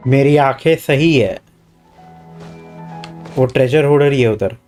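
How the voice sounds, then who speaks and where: A man's voice: mid-range voice, racing, a little mellow, faint music behind. A man with an Indian accent giving casual, joking gaming commentary as a live streamer. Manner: switches between Hindi and English, joking asides.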